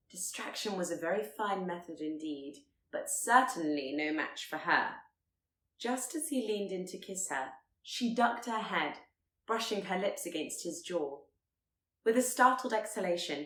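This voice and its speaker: Woman reading a story audiobook style, lowish voice, expressive, very roomy sound. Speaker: audiobook narrator. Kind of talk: expressive literary narration.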